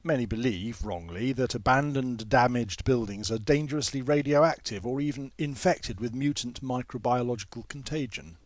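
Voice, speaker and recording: A man narrating a literary story in a measured, formal manner with precise phrasing. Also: mid-range voice, no echo. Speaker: author reading own novel.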